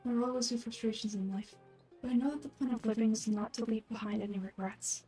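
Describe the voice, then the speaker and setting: A woman plays a frail young woman from a fantasy game. The voice is mellow and fairly high, with soft, measured delivery. The temper is gentle, wistful and accepting, over background music. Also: fairly even intonation, natural voice. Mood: grateful.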